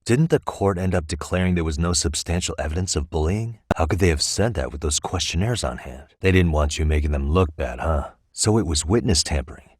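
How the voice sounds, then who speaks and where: Low, smooth-voiced male investigator. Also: expressive, brisk, hardly any pauses, a little mellow, no room sound, clean studio sound. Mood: somber, questioning. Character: composed, probing male private investigator, adult, dryly confident. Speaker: voice actor in a video game. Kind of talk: naturalistic game dialogue acting.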